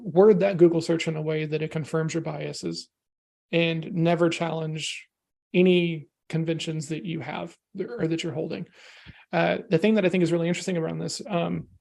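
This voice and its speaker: Gravelly man, chatty and rambling. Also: fairly high pitch, normal speed. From United States. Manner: energetic, rambling, peppers talk with "right?" and "you know". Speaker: podcast guest. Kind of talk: casual discussion.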